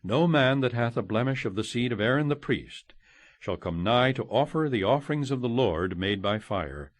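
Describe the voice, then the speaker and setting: A man reading scripture as an audiobook narrator, solemn and measured, declaiming each phrase and letting it fall at the end. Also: mid-range voice, animated, no room sound. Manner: measured, declamatory, phrases ending on falling cadences.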